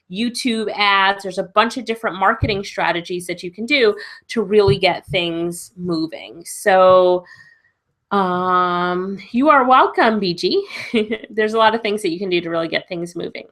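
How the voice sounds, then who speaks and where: A woman's voice: mid-range voice, a bit bright. A woman with a cold and a stuffy nose hosting a live webinar Q and A as a business coach, chatty and encouraging, laughing often. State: congested with a cold.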